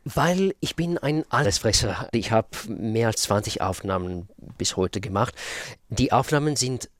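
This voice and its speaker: A man in his thirties from Russia, speaking German as a pianist guest, thoughtful and pausing to find words. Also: fairly low pitch, expressive, dry recording, clean studio sound. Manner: thoughtful, searching for words, many pauses. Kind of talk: radio interview.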